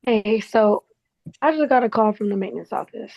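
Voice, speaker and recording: A woman's voice: mid-range voice, moderate pace, creaky. Easygoing woman assistant, slightly muffled audio acted. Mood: apologetic. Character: Miriam, helpful, cheeky woman office assistant. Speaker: actor in a table read. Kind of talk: casual naturalistic script read.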